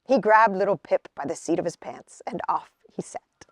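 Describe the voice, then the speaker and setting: A woman reading a children's picture book aloud as a storyteller, with expressive, deliberate phrasing. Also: lowish voice, dry recording, clean studio sound.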